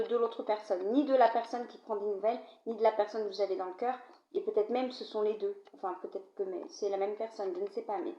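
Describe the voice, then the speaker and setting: A woman with a cold giving a card reading, talking straight to the viewer in a chatty way and often checking in with the listener. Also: a little mellow. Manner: conversational, addresses viewer directly with frequent "d'accord?". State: has a cold, coughing. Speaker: card reader. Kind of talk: tarot-style card reading to camera. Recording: low-volume, dull, roomy home recording.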